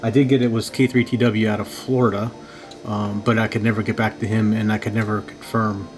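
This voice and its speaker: Low-key man thinking aloud, music behind. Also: flat delivery, brisk. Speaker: amateur radio operator hosting a video. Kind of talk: casual unscripted walkthrough of radio equipment. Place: home radio shack.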